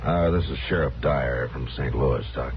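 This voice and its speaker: A middle-aged actor playing a stern, terse frontier marshal with slow authority in a radio western. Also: male voice, very deep voice, creaky. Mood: grave, subdued. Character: stern, grave frontier US marshal, a grown man. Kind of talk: 1950s radio drama acting. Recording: dull vintage radio recording, faint noise.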